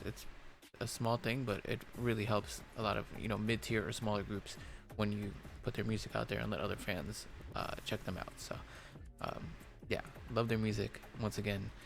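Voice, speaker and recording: A man hosting a reaction video, chatting casually in a rambling, halting way full of fillers and restarts, and hoarse as if losing his voice. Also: on the low side.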